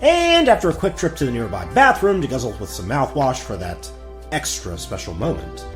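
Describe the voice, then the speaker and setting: A man narrating a comic storybook parody with wry, self-interrupting asides. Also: medium pitch.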